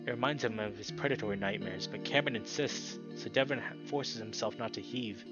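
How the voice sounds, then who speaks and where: A man's voice: lowish voice, brisk, highs cut off. A young man hosting and narrating a visual novel, reading aloud with casual commentary. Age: young adult. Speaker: video host and narrator. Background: loud game music.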